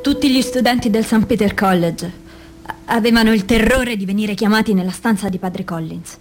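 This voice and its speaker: A woman's voice: mid-range voice, moderate pace, darkish tone, close to an everyday voice. A woman reading scripted reports and testimony for a documentary radio play. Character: victims and witnesses recounting abuse by priests.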